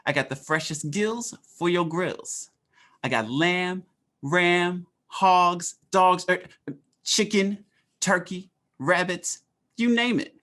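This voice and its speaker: Lady doing a folksy butcher guy selling on old radio, lowish and swinging all over, pushy wisecracks. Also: a bit bright. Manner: wisecracking, streetwise hard sell. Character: folksy, pushy male butcher salesman, uncle type. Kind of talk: 1940s radio ad acting.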